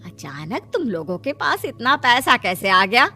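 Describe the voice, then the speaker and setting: Bright, prying sister-in-law acted by a woman. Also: fairly high pitch, sing-song, unhurried, heavily acted. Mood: prying, suspicious. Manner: prying, insinuating. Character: nosy, envious sister-in-law in village. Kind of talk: Hindi moral-tale cartoon voicing. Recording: music behind the voice.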